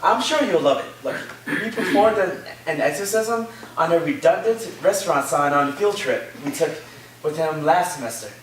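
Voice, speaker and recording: A man's voice: on the high side, animated, normal speed, natural voice. A man as a friendly student, echoey and distant. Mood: friendly, casual. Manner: casual, hesitant, amiable. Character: friendly, chatty young male returning college student. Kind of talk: staged play reading. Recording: echoey, distant room recording with faint noise.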